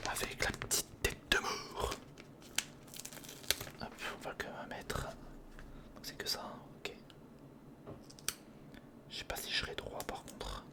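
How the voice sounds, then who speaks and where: An ASMR creator, speaking in a breathy whisper close to the microphone, casually talking through hands-on work and repeating short phrases. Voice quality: breathy, mostly unvoiced whisper. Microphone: close studio recorder microphone.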